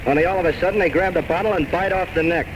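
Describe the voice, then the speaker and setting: A man's voice: high voice, racing, close to an everyday voice. Acteur jouant un barman bourru et désabusé, son bruité. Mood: wry. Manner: blunt, folksy, ungrammatical working-class speech. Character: plain-spoken, world-weary male New York bartender. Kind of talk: old-time radio drama acting. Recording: noisy vintage radio recording.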